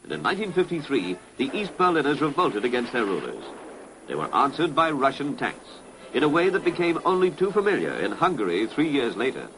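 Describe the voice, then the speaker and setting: A man's voice: mid-range voice, huge pitch swings, brisk, fairly bright tone, slight background noise, rough recording. A British man narrating a 1960s public information film in a formal, authoritative way. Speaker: documentary narrator. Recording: vintage 1960s film soundtrack, music behind.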